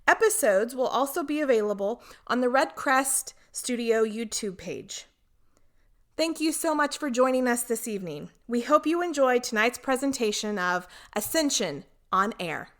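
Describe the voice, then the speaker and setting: A woman's voice: a little high, lively intonation, moderate pace. A woman presenting a theater program, reading a scripted welcome announcement to listeners.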